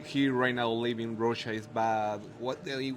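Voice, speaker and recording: Man, Mexican Spanish accent, halting English, interviewer, faint noise behind. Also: mid-range voice, fairly even intonation. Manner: halting non-native English, searching for words. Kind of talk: casual interview questions.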